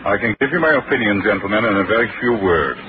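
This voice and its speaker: An actor playing a calm, patient ship's doctor who reasons gently in a 1940s radio drama. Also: male voice, on the low side, fast, close to an everyday voice. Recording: muffled old radio broadcast with hiss.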